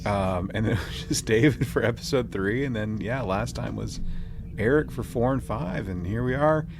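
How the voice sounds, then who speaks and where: A man's voice: mid-range voice, moderate pace, creaky, noisy background. A man hosting a podcast with casual banter in a joking, slangy manner. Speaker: podcast host.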